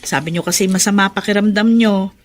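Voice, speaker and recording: A woman's voice: medium pitch, fairly even intonation, fairly quick, slight background noise, lightly acted. A woman with a Filipino accent playing a caring, middle-aged, worn-out daughter in a radio drama, earnest and coaxing. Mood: gentle concern.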